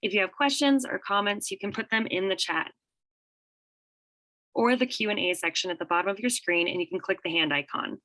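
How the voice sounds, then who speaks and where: A woman moderating a webinar, reading the opening announcements in a brisk, businesslike way. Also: mid-range voice, bright, forceful tone, no echo, rough recording.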